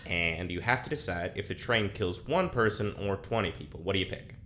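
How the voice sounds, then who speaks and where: A man's voice: deep voice, animated, brisk, bright, forceful tone, slight background noise. A man acting in a naturalistic audio drama as a nervous, hesitant rambler with a wry streak. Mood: hesitant, curious.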